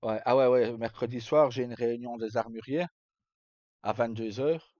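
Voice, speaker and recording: A middle-aged man chats on a livestream. The sound is close and dry, slightly dull and lo-fi. His pitch is average for a man, and he talks in a loose, hesitant, informal way. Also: fairly even intonation, normal speed, mellow, no room sound. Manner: hesitant, rambling, informal, mildly crude. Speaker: live streamer. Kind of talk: casual livestream chat.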